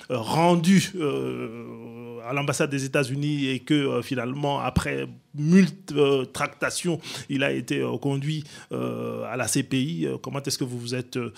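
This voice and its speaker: A man's voice: mid-range voice, vocal fry. A man hosting a radio interview in a studio, asking long questions full of hesitations and fillers. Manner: hesitant, many filler sounds. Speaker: radio host.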